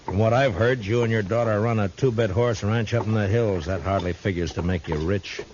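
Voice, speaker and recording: An actor playing a firm, plain-spoken marshal in a 1950s radio western, stern and probing. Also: male voice, lowish voice, a little fast, a little mellow, close to an everyday voice. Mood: stern, exasperated. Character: firm, plain-spoken frontier marshal, tough but fair. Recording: dull, hissy 1950s radio recording.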